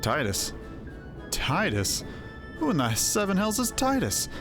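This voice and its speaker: A man voicing a gruff, no-nonsense mercenary chief in a fantasy game, scolding bluntly. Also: sits high, huge pitch swings, measured pace, heavily acted. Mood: scornful. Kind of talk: voiced fantasy game dialogue. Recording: music under the voice.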